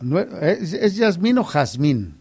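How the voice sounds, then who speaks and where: A man's voice: a little high, sing-song, slow, vocal fry, darkish tone, slightly rough. A man hosting live radio, chatting casually and teasing callers, often repeating his phrases. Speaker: radio host.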